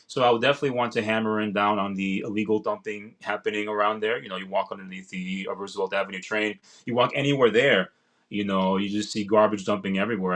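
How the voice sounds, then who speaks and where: A young man speaking earnestly on a video call from a room at home, talking loudly and rambling, restarting his sentences often. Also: lowish voice. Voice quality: loud voice. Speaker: political candidate guest. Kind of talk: casual livestream interview. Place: home room with vinyl records.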